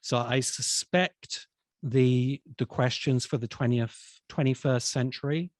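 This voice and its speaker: A man speaking as an expert guest in a conversational discussion, explaining ideas in a thoughtful, halting way. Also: medium pitch, dry recording. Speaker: interviewed science fiction expert.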